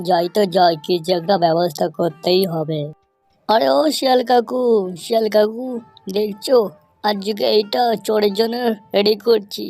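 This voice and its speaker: A woman's voice: medium pitch, darkish tone, voice pushed down, lightly acted. A woman voicing a bold, righteous male tiger in an amateur cartoon, blustering and giving orders as the village's protector. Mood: indignant, threatening.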